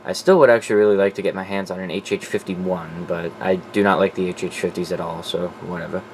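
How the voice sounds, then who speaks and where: A man's voice: low voice, fairly even intonation, fast, slight background noise, rough recording. A man presenting his collection in a casual, off-the-cuff, informal way, sometimes profane. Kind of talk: casual off-the-cuff show-and-tell.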